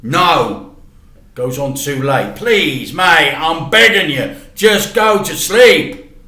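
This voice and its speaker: Storybook father, acted by a man: harried, evasive, matey yet insistent, raised forceful voice with lively pitch. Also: slow, roomy sound, heavily acted. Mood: pleading, impatient. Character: harried, evasive working-class father putting son to bed. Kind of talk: children's storybook read aloud.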